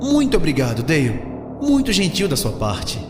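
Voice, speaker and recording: Echoey, animated young Brazilian male traveler played by an actor. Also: fairly high pitch, normal speed, over music. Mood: polite, placating. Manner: expressive, colloquial. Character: friendly young male traveler, the peacemaking friend. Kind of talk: horror audiodrama acting.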